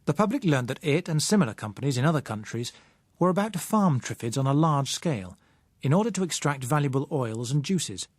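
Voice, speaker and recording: A man reads a novel aloud as an audiobook narrator, in a measured, articulate, literary manner. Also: fairly high pitch, expressive, no room sound.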